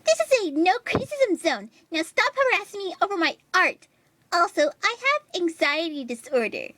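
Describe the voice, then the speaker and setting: Woman doing a high-pitched whiny, vengeful online artist girl. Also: big pitch swings, normal speed, a bit bright, dry recording, heavily acted. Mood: defensive. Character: whiny, aggrieved young female online artist, self-pitying and vengeful.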